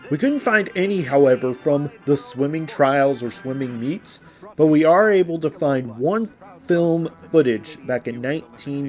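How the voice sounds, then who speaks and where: A man's voice: mid-range voice, darkish tone. A man with an American accent introducing a podcast like a radio host, rambling and wordy. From Indiana, United States. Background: music under the voice.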